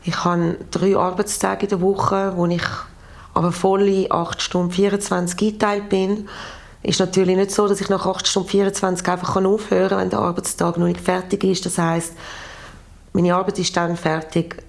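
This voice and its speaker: Swiss postal worker, woman in her forties, matter-of-fact and measured, lowish gravelly voice. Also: little pitch movement. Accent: Swiss German. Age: 40s. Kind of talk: documentary interview.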